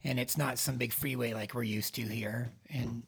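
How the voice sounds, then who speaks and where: A middle-aged man co-hosting a podcast in casual chat, with a voice he calls a bit high, rambling with many restarts. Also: fairly even intonation, normal speed, vocal fry, slightly rough. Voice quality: says his voice is on the high side. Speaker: podcast co-host.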